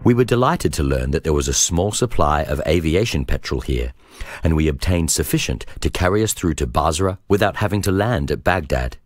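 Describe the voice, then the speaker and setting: A man reads a historical journal as scripted narration, in a measured, formal storytelling manner. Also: very deep voice, animated. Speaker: narrator reading a memoir.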